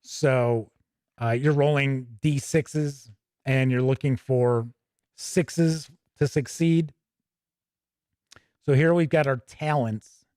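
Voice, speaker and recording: A man's voice: mid-range voice, fairly even intonation, takes it slowly, long pauses, dark tone, no room sound, studio quality. An American man hosting a tabletop gaming show, reviewing casually off the cuff and thinking aloud as he rambles.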